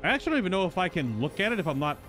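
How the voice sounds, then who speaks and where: Rambling male game streamer, lively pitch. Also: very fast, soft background music. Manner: rambling, musing, thinking aloud. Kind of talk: casual live stream commentary, reading game dialogue aloud.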